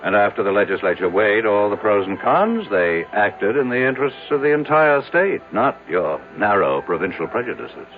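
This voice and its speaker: A man's voice: lowish voice, big pitch swings, moderate pace, few pauses. An actor playing a wealthy, skeptical, guarded American businessman in a radio drama. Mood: defensive, indignant. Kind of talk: 1970s radio drama acting. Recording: old tinny narrow-band radio, faint music.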